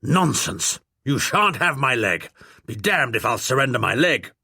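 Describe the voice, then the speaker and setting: A man's voice: mid-range voice, very animated, measured pace, creaky, sharp tone, husky, no room sound, studio quality, heavily acted. A man reading aloud voices a blustering, bullying old English lord who barks commands and takes offense. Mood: outraged. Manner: imperious, indignant, commanding.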